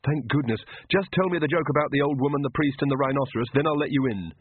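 A man's voice: mid-range voice, quick pace, husky, no room sound, voice pushed up, natural voice. A man voicing a nervous but defiant young woman witch with a tense manner. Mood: wary. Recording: tinny phone-like dry sound.

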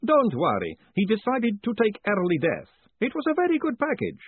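A man voicing a cheerful, fussy male wizard professor who sounds high, bright and chatty. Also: wildly swooping pitch, normal speed, breathy edge, no echo, heavily acted. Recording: tinny phone-like audio.

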